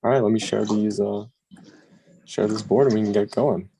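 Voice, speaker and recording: A man hosting an online game over a video call, talking in a casual, brisk way. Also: on the low side, fairly even intonation, gravelly, dark tone, a little hiss or hum. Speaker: game host.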